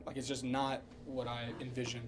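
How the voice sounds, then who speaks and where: A man's voice: mid-range voice, completely flat delivery, moderate pace, a crowd in the background. A man in a casual podcast debate, talking emphatically with repeated restarts and frequent swearing. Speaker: podcast co-host. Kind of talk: casual argumentative chat about movies.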